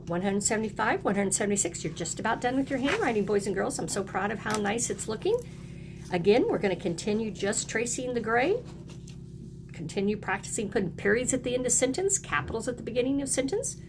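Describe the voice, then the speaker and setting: A woman's voice: on the high side, animated, a little fast, noisy background. A woman teaching young children, encouraging them in short, simple phrases as she reads aloud and explains. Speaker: early elementary teacher.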